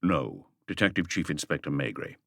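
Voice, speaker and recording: A calm police chief inspector, played by a male audiobook narrator, very low in pitch and closely recorded with little room sound. He is courteous and measured, with a terse authority. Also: creaky, no room sound, clean studio sound, full character voice. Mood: terse, grave. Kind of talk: audiobook character voice.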